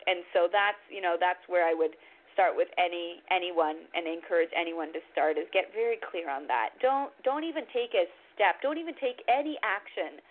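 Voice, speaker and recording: Woman coach on a tinny phone line, earnest and reflective, tamil is her first language, lots of restarts. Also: lowish voice. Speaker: guest coach. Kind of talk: live radio interview.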